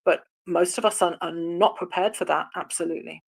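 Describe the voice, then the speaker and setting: A woman hosting an online discussion, speaking in a measured, reflective way with some halting restarts. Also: fairly low pitch, fairly even intonation, creaky, slightly rough. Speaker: host of an online audio chat room. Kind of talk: moderating a discussion.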